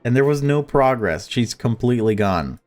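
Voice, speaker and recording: A man's voice: mid-range voice, lively intonation, normal speed, darkish tone, soft background music. A man reading a visual novel aloud as a let's player, breaking off into casual commentary.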